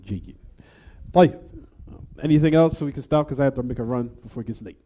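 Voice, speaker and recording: Fast-talking man teaching a class. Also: mid-range voice, warm tone. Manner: reads quoted text then explains, asks the class rhetorical "what?" questions; switches between English and recited Arabic. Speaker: Islamic teacher. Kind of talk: lesson explaining a text to a class.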